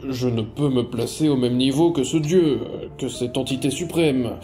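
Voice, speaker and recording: A man acting a polite yet menacing magistrate in an audio drama, speaking deliberately with hesitant pauses. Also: mid-range voice, mellow, soft background music, natural voice. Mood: courteous. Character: polite, menacing male magistrate, pious servant of time.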